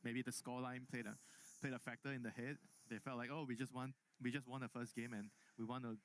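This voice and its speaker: A man's voice: medium pitch, flat delivery, quick pace. A man working as an esports analyst on a live desk, repeating himself as he starts his thoughts.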